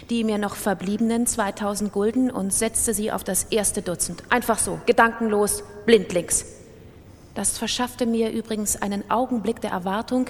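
A woman with a standard German accent reading literary prose as a dramatic radio narration. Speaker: literary reader in a radio production. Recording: long reverb, faint rumbling noise.

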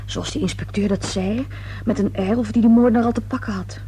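Sardonic young daughter, radio drama, breathy and strongly husky, clipped sullen replies. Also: female voice, mid-range voice, fairly even intonation, a little fast, dark tone. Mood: probing, wry. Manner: curt, sardonic. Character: sullen, sarcastic grown-up daughter needling her parents. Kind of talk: radio play acting.

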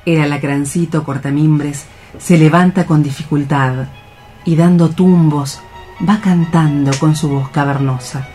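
A man's voice: a little high, steady tone, unhurried, darkish tone, husky. A man narrating a radio play, reading out scene headings and stage directions. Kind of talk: scripted radio theatre narration. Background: music.

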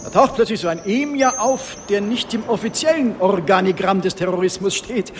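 A man playing a smooth, evasive disgraced official in a radio crime drama, urbane and mock-polite. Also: high voice, normal speed, slight background noise. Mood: knowing, sardonic.

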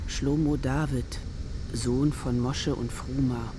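A man reading out formal notices as an announcer, deliberate and monotone. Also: medium pitch, noisy background, close to an everyday voice.

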